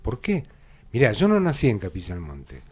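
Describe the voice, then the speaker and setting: An older Argentine man hosting a small-town radio chat, his voice low and mellow. He talks in a rambling, anecdotal way, stopping and restarting around fillers. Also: wildly swooping pitch, moderate pace, breathy edge, soft background music. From Buenos Aires. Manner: chatty, anecdotal, with frequent fillers. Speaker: radio host. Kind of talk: local radio interview.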